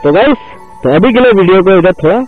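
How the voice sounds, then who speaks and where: A man's voice: high-pitched, expressive, fast talker. A young man chatting casually while riding a motorcycle outdoors, keeps saying "guys" and asks viewers for support. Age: young adult. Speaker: motovlogger. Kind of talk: casual ride commentary. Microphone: action camera on the bike. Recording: tinny phone-like sound with music behind.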